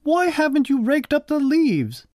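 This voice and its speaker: Narrator putting on a shrill, scolding mother. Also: very animated, moderate pace, a little mellow, no room sound, clean studio sound. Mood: cross. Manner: stern, demanding answers. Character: cross, scolding farm mother. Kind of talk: children's storybook character voice.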